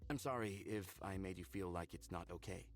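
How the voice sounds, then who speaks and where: A man voicing a hesitant, apologetic, curious young man in a visual novel, halting and sheepish as he speaks. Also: low-pitched, soft background music.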